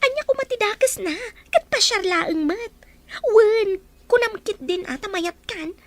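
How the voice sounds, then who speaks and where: An actress playing a bold, feisty young best friend in a radio drama, brash and teasing in manner. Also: female voice, very high voice, expressive, measured pace, no room sound. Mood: eager. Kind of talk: Ilocano radio drama acting.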